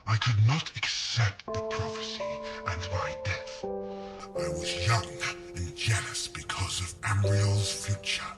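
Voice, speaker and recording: A man voicing an immortal brother turned dark lord, speaking in a hoarse whisper, slow, solemn and threatening, for a game mod. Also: on the low side. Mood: grim. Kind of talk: fan voice acting for a game mod. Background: loud music.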